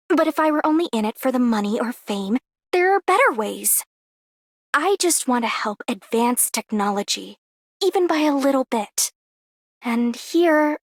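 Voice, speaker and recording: A woman's voice: a little high, dry recording, clean studio sound. An actress playing an idealistic woman researcher in a video game, earnest and reflective. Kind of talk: video game character voice acting.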